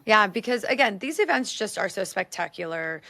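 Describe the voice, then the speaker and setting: A woman's voice: mid-range voice, expressive, normal speed, bright, forceful tone. A woman hosting a podcast interview, affirming and asking questions casually. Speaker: podcast host.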